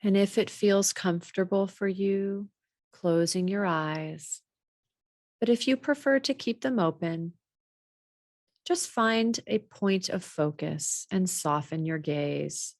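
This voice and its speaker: A woman guiding a body scan meditation in a measured, gently instructional way. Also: medium pitch, dry recording, clean studio sound. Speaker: meditation teacher.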